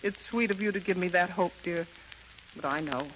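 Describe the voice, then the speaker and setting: A woman playing a convicted, loving and grief-stricken young mother in an earnest, halting 1930s radio drama style. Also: on the low side, dull audio, lightly acted. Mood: anguished resignation. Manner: earnest, melodramatic, halting with emotion. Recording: bright, noisy, band-limited 1930s radio recording.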